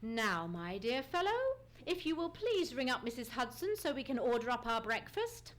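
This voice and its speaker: Here is a woman acting a cool gentleman sleuth in an old-time radio mystery. The voice is high and very lively in pitch. The phrasing is polite, precise and drily amused, as from someone who cannot be rattled. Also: normal speed. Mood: wry, brisk. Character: cool, wry, unflappable male gentleman detective. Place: live on a theatre stage.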